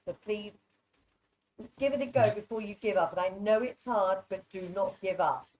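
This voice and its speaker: A woman's voice: mid-range voice, normal speed. A woman with a mixed English and Norwegian accent giving informal live psychic readings in a chatty, direct, reassuring way. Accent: mixed English with Norwegian influence. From born in England, grew up largely in Norway. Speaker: psychic card reader, guest presenter. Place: online video call. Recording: muffled, soft online microphone.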